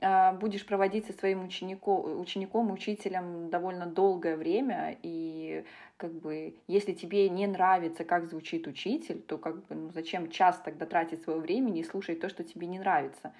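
A woman who coaches English pronunciation, a podcast guest, speaking thoughtfully and pausing with hesitation sounds. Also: fairly low pitch, fairly even intonation, a little mellow. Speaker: guest, British accent specialist. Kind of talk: casual podcast conversation.

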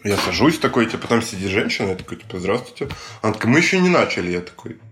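A young man co-hosting a podcast, telling a story in a casual, animated way, mimicking other people's lines and swearing often. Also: fairly low pitch, racing, noisy background. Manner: animated retelling with acted-out quotes and heavy swearing. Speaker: podcast co-host.

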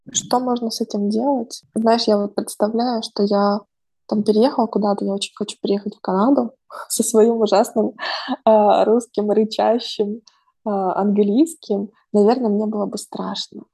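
Gravelly-voiced reflective woman. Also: medium pitch, fairly even intonation, normal speed, warm tone. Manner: reflective, thinking aloud with many fillers. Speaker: podcast host, psychologist. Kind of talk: casual conversational chat. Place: home.

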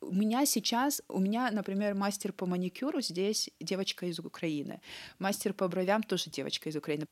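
A young adult woman surgeon from Russia, Ossetian her first language, telling stories earnestly with lively acted-out quotes in an interview. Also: medium pitch, fairly quick. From Russia (North Ossetia). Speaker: cardiac surgeon, interview guest. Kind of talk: conversational interview answers.

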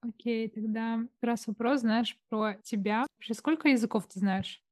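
A woman's voice: on the high side, moderate pace, no room sound. A woman hosting a casual interview, curious and asking her guest friendly questions. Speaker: interview host.

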